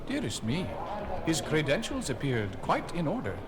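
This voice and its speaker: A man's voice: fairly high pitch, huge pitch swings, normal speed, a crowd in the background. A man playing a formal, flowery alien envoy in a sci-fi audio drama. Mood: fussy, apologetic. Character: courtly, formal alien government envoys and escort.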